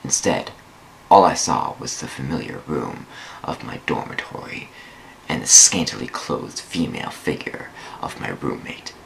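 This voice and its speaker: A man's voice: a bass voice, sing-song, a little slow, gravelly, fairly bright tone, a little hiss or hum, low-quality recording. A man giving a theatrical dramatic reading of a first-person story, drawing out words for emphasis. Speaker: amateur dramatic reader of online fan fiction.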